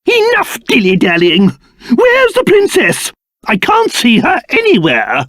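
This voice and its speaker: A man's voice: very high voice, wildly swooping pitch, measured pace, fairly bright tone, no room sound, clean studio sound, heavily acted. A man voicing a fussy, haughty old cursed king, a creature and doting father in a video game, with a pompous, theatrical, exclamatory manner. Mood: impatient, worried. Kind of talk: video game character voice acting.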